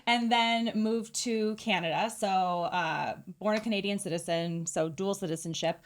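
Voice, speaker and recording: A middle-aged woman from California, a hairdresser, telling her story in a relaxed, chatty way with fillers and small laughs. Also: medium pitch, moderate pace. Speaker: hairdresser guest. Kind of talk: casual interview storytelling.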